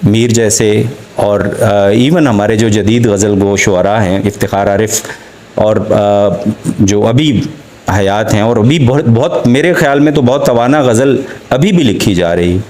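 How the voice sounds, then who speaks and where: A man with a Pakistani accent giving a formal academic literature lecture, halting and deliberate, with frequent pauses to find his words. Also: lowish voice, darkish tone.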